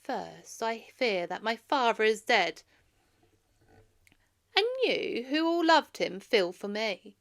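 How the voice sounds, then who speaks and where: A woman's voice: on the high side, expressive, slow, long pauses. A woman reading the part of a grieving, earnest young prince who pleads emphatically, like an orator, in a storybook. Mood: distressed, pleading.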